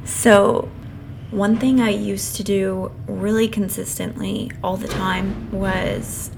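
Woman, podcast host, hoarse and creaky, chatty informal solo talk, mid pitch, audible truck noise behind. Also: fairly even intonation, slow pace. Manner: chatty, informal, apologetic asides to listeners. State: losing her voice. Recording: clear background noise, passing truck rumble. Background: noisy truck outside.

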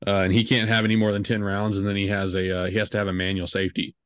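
A man's voice: fairly low pitch, completely flat delivery, rapid-fire, a bit bright, clean tone, no echo, clean studio sound. A man in his twenties hosting a podcast, chatting casually and rambling with lots of fillers and jokes. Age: 20s. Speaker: podcast host.

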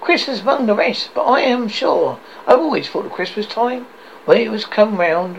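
A reader putting on a cheerful young man from a storybook, good-hearted and earnest. The voice is pitched high and swoops up and down as it exclaims and pleads. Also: vocal fry. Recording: dull audio with faint noise.

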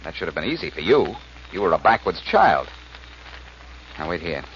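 Casting: commanding, witty American sleuth, very low voice with swooping delivery, vintage radio mystery. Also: male voice, very animated. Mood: confident, explaining. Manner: brisk, assertive, wisecracking. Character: quick-witted, commanding wisecracking amateur detective. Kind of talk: 1940s radio acting. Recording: muffled, noisy old radio recording.

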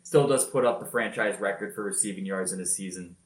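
A man telling football highlights in a casual podcast chat, energetic and loud-voiced. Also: fairly quick, roomy sound. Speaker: podcast co-host. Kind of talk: casual sports recap.

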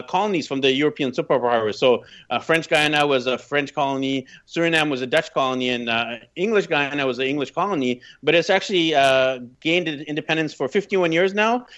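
Higher-voiced Canadian man, slightly dull audio. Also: a bit bright. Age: 30s. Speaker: podcast guest. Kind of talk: conversational personal storytelling.

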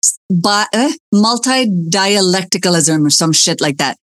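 A woman's voice: medium pitch, animated, a little slow, a bit bright, no room sound, clean studio sound. A woman who grew up in the Philippines and the United States, hosting a podcast interview in a blunt, laughing way, swearing freely. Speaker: podcast host. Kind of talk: casual interview chat.

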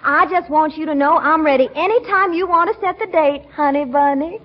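Southern belle played by a woman for vintage radio comedy: very high voice, sugary, pushy flirt, muffled recording. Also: brisk, natural voice. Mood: flirtatious, excited. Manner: coy, gushing, full of pet names. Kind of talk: 1940s radio comedy acting.

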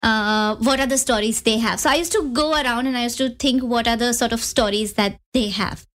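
A woman's voice: on the high side, a little fast, fairly bright tone. A woman in her thirties with an Indian accent, a podcast guest chatting casually, full of "like" and "you know" as she restarts her thoughts. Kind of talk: casual interview chat.

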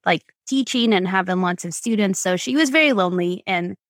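A woman's voice: mid-range voice, fairly quick, no room sound, clean studio sound. A woman with an Argentine Spanish accent, chatting as a podcast guest in a rambling, filler-filled way. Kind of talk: casual storytelling interview.

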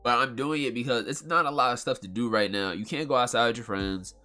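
A man with a bright, nasal voice hosts his own podcast at a home mic. He talks in a loose, rambling, slang-filled way, and faint music sits low behind him. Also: quick pace. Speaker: podcast host. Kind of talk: casual solo podcast talk.

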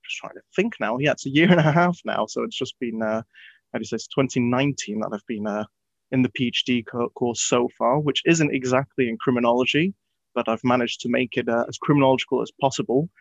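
A young man from France and the UK, a PhD candidate guest talking casually and thoughtfully with many pauses and fillers. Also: mid-range voice, creaky, dry recording. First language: English. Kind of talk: casual interview talk. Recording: dull lo-fi audio.

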